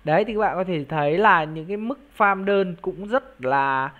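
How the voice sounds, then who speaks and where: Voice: mid-range voice, normal speed, phone-quality sound. A video host giving an informal screen-share walkthrough, explaining things directly to viewers. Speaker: YouTube crypto project reviewer and channel host.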